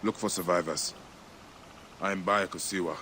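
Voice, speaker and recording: A man's voice: low voice, fairly even intonation, normal speed, sharp tone, a little hiss or hum. A man playing a grave, grieving desert warrior set on revenge in a dramatic video game. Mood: grim resolve. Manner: grave, intense, solemn.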